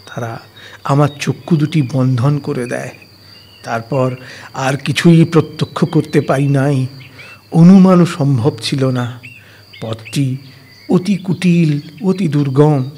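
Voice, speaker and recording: A man's voice: mid-range voice, mellow, breathy edge. A man playing a distressed, pious Brahmin subject who speaks to his king in formal, archaic words in an audio story. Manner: formal, archaic, literary wording, hesitant. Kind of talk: dramatised audio story dialogue.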